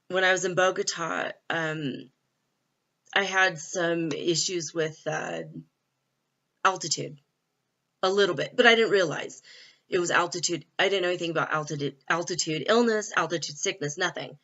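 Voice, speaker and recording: A woman's voice: lowish voice, normal speed, long pauses, a bit bright. A middle-aged woman from California talking casually and candidly into her laptop, with many fillers and little laughs. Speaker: vlogger. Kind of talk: casual spiritual vlog. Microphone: laptop built-in mic. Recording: dull lo-fi laptop mic.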